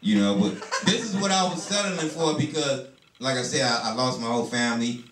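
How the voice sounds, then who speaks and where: A man's voice: mid-range voice, a little fast, a bit bright. Profane man doing stand-up. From Detroit, USA. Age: 30s. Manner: loose, profane, repeats "you know what I'm saying". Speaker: stand-up comedian. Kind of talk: stand-up storytelling. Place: small comedy club. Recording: roomy club sound from a distance, crowd noise.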